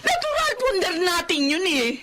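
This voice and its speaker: A woman's voice: very high-pitched, very animated, normal speed, noisy background. A woman playing a long-suffering wife, mother and schoolteacher in a radio drama, indignant and also narrating her own story. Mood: indignant, scolding. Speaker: narrator and lead actress. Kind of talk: radio drama acting with first-person narration.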